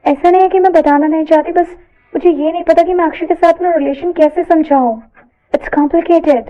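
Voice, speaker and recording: A woman's voice: extremely high pitch, steady tone, normal speed, dull audio, soft background music, pitched above the performer's own voice. A woman voicing a bashful but spirited young college woman in an audio story, sprinkling in English words. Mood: hesitant. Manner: stammering when flustered, mixes in English words. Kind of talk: audio story character dialogue.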